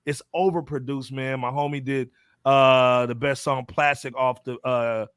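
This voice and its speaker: An American man hosting a casual music podcast, talking in an animated, slangy, emphatic way. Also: medium pitch, a little slow, clean tone, no room sound, clean studio sound. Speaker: podcast host. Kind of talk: casual music panel chat.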